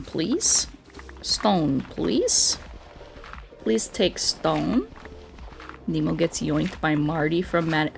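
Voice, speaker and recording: A woman's voice: lowish voice, creaky. A woman streaming a video game, chatting casually and playfully with viewers in a scatterbrained way, often repeating her words.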